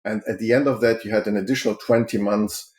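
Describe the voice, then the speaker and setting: A middle-aged man from Germany with a lowish voice gives long interview answers. He explains step by step in a measured way, with ums and uhs between his points. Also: fairly even intonation, darkish tone. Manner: measured, explanatory, with frequent fillers. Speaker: healthcare consultant.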